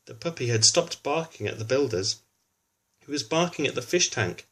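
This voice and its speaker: A man's voice: medium pitch, animated, moderate pace, a bit bright, slightly rough. A man reads a children's storybook aloud expressively, adding informal explanatory asides for a young listener. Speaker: children's book reader.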